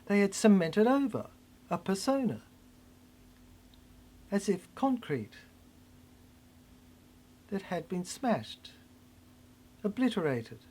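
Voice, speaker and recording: A narrator performing a theatrical spoken-word monologue in an emphatic way, with halting repeats. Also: mid-range voice, big pitch swings, warm tone, husky. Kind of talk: dramatic spoken-word narration of a cryptic science-fiction monologue.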